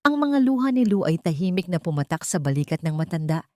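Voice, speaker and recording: A woman's voice: on the low side, big pitch swings, fast, mellow, no echo, studio quality. A woman narrating a scripted dramatic story as a storyteller.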